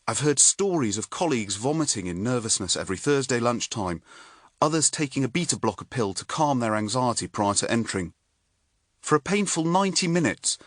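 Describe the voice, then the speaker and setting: Expressive British man narrating an audiobook. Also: medium pitch, no room sound, clean studio sound. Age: middle-aged. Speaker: author and neurologist reading own audiobook.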